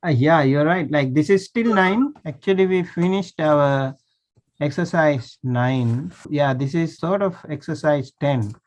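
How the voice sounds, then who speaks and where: A man's voice: a little high, lively intonation, a little slow, darkish tone. A man, a monk, teaching a language class over a video call, patiently checking that students follow. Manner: patient, checks understanding often.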